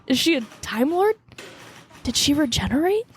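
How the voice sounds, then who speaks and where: An eager, naive female investigator, acted by a woman in a comedic radio drama. Her voice is on the high side, blunt and earnest, over faint noise. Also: big pitch swings, normal speed. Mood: naive wonder.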